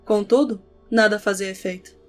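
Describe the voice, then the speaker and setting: A woman's voice: medium pitch, fairly even intonation, moderate pace. A woman reading scripted narration for an audio novel as its narrator. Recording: background music under the voice. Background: instrumental music with guitar.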